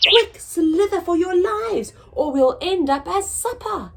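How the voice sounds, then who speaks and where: A woman voicing a fretful, excitable snail from a picture book, urgent and exclaiming. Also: extremely high pitch, slow pace, creaky, pitched above the performer's own voice, heavily acted. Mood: panicked. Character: excitable, fretful snails who scold and fuss. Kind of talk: children's picture book character voice.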